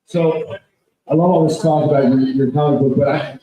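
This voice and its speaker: A man's voice: a little high, steady tone, normal speed, dark tone, distant mic. A man co-hosting a podcast, asking a guest a long, winding question about what he loved as a kid. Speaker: podcast co-host. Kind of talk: casual interview. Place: convention hall. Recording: echoey, slightly dull hall recording.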